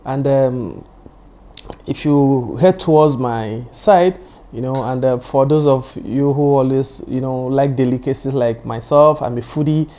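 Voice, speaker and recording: A man's voice: mid-range voice, a little slow, warm tone. A man, Yoruba-speaking, hosting his own show and giving chatty, digressive football analysis. He repeats his points for emphasis and leans on "you know.". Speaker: football pundit and show host. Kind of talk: casual solo football analysis.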